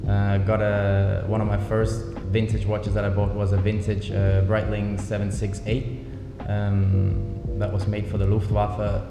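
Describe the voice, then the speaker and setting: A man talks about his path as an interview guest, thoughtful and unhurried, pausing on ums. His voice sits low and stays fairly level. He is heard in a reverberant office with music playing beneath. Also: monotone. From Denmark. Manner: measured, thoughtful, many ums. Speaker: watch brand founder, guest. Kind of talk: relaxed interview conversation. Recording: echoey office with music bed.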